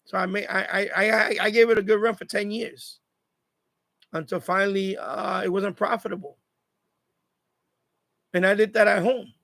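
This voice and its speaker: A man's voice: sits high, flat delivery, moderate pace, vocal fry, pauses often, fairly bright tone, no echo. A man chatting casually on a live stream, repeating phrases as he gives advice. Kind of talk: casual live chat monologue.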